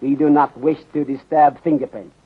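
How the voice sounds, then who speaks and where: Muffled vintage courteous detective. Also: male voice, fairly high pitch, fairly even intonation, slightly rough. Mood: courteous, instructing. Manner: measured, formal, clipped phrasing without articles. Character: courteous, shrewd Chinese detective inspector with aphorisms. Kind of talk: 1930s radio acting. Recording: muffled phone-like 1930s radio recording.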